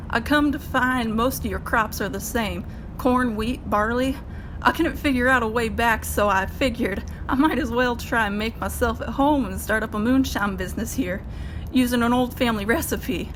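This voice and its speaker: A woman's voice: a little high, normal speed, noisy background, close to an everyday voice. A woman playing a naive, otherworldly moonshiner in an audio drama, with a pleading manner. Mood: earnest, explaining. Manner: folksy rustic speech, pleading.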